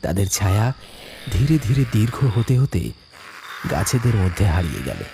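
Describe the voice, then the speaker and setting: Male narrator for ghost story: lowish voice, very animated dramatic read, loud music underneath.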